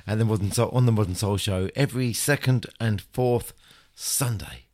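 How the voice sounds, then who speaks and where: A man hosting a radio music show, talking in a chatty, relaxed way with a croaky, hoarse voice. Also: fairly low pitch, normal speed. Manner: chatty, friendly and relaxed, chuckles between remarks. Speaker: radio DJ host. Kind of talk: casual radio link between records. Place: home radio studio in South West London.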